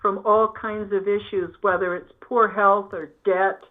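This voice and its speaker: Woman guest on an interview, earnest n reflective, mid pitched voice, tinny phone-like call audio. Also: little pitch movement, a little slow. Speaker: interview guest, spiritual health coach. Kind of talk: conversational spiritual talk.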